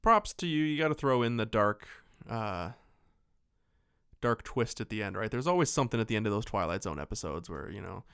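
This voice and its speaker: A man's voice: fairly low pitch, expressive, fairly quick, a bit bright, smooth, no echo, clean studio sound. A man co-hosting a podcast, chatting casually about films in a rambling, jokey way with some swearing. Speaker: podcast co-host. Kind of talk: casual film discussion chat.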